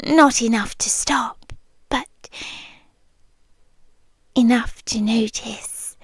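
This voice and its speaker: Casting: woman to play obsessively devoted non-human girlfriend, British, high soft voice, unhurried, sliding into whispers, ASMR roleplay. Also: no echo, exaggerated voice. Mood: confessional, fond. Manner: soft, breathy, slow and deliberate, often dropping to a whisper. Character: possessive, obsessively devoted female tailed creature girlfriend. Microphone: close, dry ASMR microphone.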